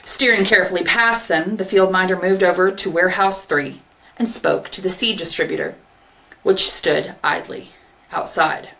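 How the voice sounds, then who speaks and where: A woman's voice: on the low side, steady tone, moderate pace, creaky, sharp tone, poor audio. A woman English teacher reading a short story aloud as scripted narration.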